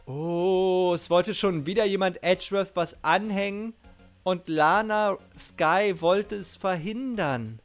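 A middle-aged man commenting casually on a game he is streaming. His voice is fairly high for a man, and he muses in an incredulous way with light music behind him. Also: takes it slowly. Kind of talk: casual game commentary, reading dialogue aloud.

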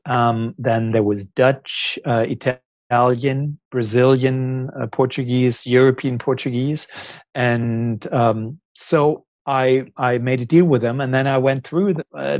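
A man in his forties with a German accent, a guest author speaking in a casual video-call interview, thoughtful and halting with many ums. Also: mid-range voice, darkish tone, dry recording, lo-fi sound. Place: home office, indoors. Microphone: earphone headset on video call.

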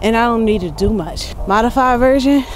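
A woman's voice: fairly high pitch, fairly quick. A young woman fitness coach giving workout instructions outdoors while demonstrating exercises, encouraging and practical. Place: outdoor park road. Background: loud background music.

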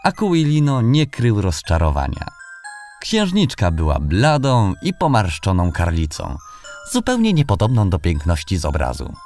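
A man with a very low voice narrates a fairy tale from a script. His expressive delivery rises and falls in a lively way, and music plays behind him. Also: moderate pace. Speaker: audiobook narrator. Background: background music.

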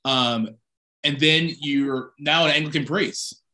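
A man's voice: on the high side, slow, pushed and bright, low-quality recording. A middle-aged man, a priest interviewing a guest over a video call, friendly and upbeat, with some fillers. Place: home room with bookshelves.

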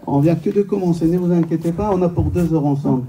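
Male rabbi, native Hebrew speaker, high-pitched voice, lecturing informally and emphatically, recorded at a distance in a hall. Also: fast, warm tone. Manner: rhetorical questions, emphatic repetition, joking. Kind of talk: informal religious lecture to a live audience. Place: medium-sized indoor synagogue function room.

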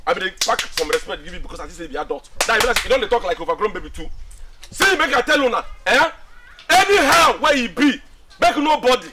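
A man's voice: sits high, animated, rapid-fire, background noise. A man with a Nigerian accent playing a defensive, scolding young man in a film, speaking emphatically and repeating himself. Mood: angry, scolding. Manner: repetitive, emphatic, argumentative. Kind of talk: Nigerian film acting in Pidgin dialogue.

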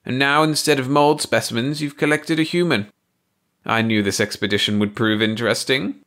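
A man's voice: medium pitch, normal speed, pitched above the performer's own voice. A man doing a smug, piping alien. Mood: smug, amused. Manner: smug, precise, gently mocking. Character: smug bald male alien, amused and lawyerly.

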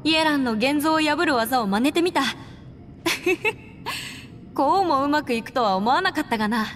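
A woman's voice: high-pitched, expressive, normal speed, fairly bright tone, slight background noise. A woman voicing a composed, resolute young adventurer in a Japanese anime game, speaking in an articulate, slightly formal, earnest way. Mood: calm, focused. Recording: long reverb, music behind.

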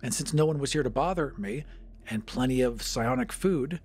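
A man's voice: mid-range voice, animated, moderate pace, husky. A man co-hosting a podcast, playfully bantering and telling an improvised story as a tabletop player.

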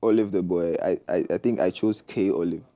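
A young man, a musician guest chatting in a relaxed, easygoing way in a TV studio. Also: low-pitched, fairly quick, dull audio. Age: young adult. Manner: relaxed, laid-back, frequent "you understand?". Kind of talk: casual interview chat. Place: TV studio set, seated on sofa. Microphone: lapel mic.

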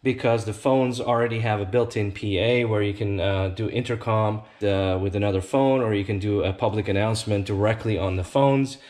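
A man's voice: fairly low pitch, a little fast, few pauses, darkish tone. A man giving an informal step-by-step product demonstration, explaining an installation plainly as a company representative. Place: indoors at a tabletop demonstration bench.

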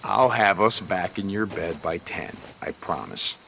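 An American actor playing a gruff, wry outlaw biker in a video game, with halting, sardonic delivery. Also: male voice, low-pitched, animated, sharp tone, a little hiss or hum, full character voice. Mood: dry teasing. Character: gruff, wry outlaw biker, adult man, guarded but teasing. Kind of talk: naturalistic cinematic game acting.

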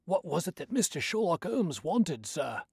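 A shrill, reedy old animal keeper with a querulous, working-class way of talking. His voice leaps high and swings wildly up and down. The sound is dry and close, with no room around it. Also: sing-song, moderate pace, gravelly, slightly rough, no room sound, exaggerated voice. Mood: chatty, fussing. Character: cranky old male animal keeper, lanky, gruff.

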